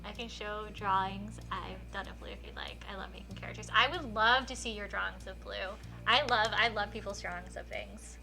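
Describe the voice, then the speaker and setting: A woman's voice: mid-range voice, steady tone, fairly quick, vocal fry, few pauses, sharp tone, soft background music. A woman live streamer reading a visual novel aloud expressively and chatting casually, with enthusiastic, gushing reactions.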